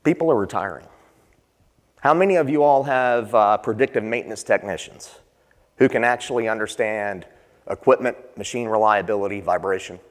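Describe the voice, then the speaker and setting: A man's voice: on the low side, moderate pace. A man leading a conference session, talking conversationally and putting questions to the audience. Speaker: session presenter. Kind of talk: conference panel talk. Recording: long hall reverb.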